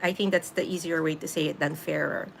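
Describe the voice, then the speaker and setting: A woman's voice: low-pitched, steady tone, brisk, creaky, a little hiss or hum. A Filipino-accented woman in her late fifties, chatting casually with viewers on a live stream, laughing easily and answering the chat. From Philippines. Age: 50s.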